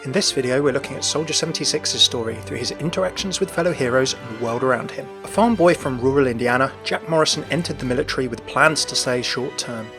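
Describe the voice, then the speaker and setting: A man's voice: medium pitch, quick pace, few pauses, music in the background. A man narrating a scripted video about the lore and backstory of a game character.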